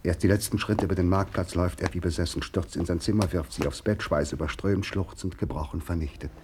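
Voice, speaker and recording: A man narrating a radio play as scripted literary storytelling, in a measured, grave manner. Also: deep voice, fairly even intonation, background noise.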